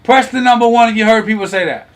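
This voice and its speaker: A man in his thirties hosting a lively talk, teasing and quizzing his online audience. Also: very high voice, fast talker. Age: 30s. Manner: animated, teasing, asks the audience questions. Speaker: host.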